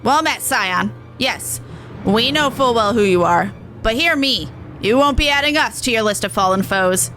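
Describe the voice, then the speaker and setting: A woman's voice: a little high, animated, normal speed, sharp tone, soft background music. A woman voicing a pompous, boastful leader of a small villain band in a game, theatrical and grandiose. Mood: haughty.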